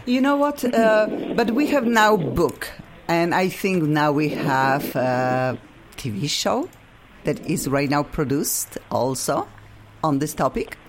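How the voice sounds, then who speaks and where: Polish woman co-host, lively accented English. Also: low voice, slow pace, background noise. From Poland. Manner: animated, broken English phrasing. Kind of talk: radio talk show chat.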